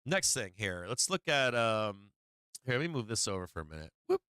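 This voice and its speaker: Hoarse man rambling through market charts. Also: lowish voice, sing-song, normal speed, bright, forceful tone, dry recording, studio quality. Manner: rambling, profane, with many fillers and asides. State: losing his voice. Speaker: stock market livestream host. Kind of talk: casual market commentary over charts.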